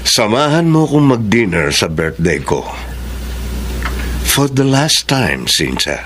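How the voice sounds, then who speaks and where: Persistent boss, low, lively delivery, noisy old broadcast. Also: male voice, slow pace, exaggerated voice. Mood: persuasive. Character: scheming male boss, middle-aged. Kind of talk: classic radio drama acting.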